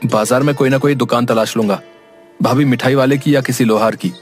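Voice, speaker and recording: A man playing a hot-headed, earnest young village man in a cartoon moral story, brisk and protesting. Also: mid-range voice, fairly even intonation, faint music behind, lightly acted.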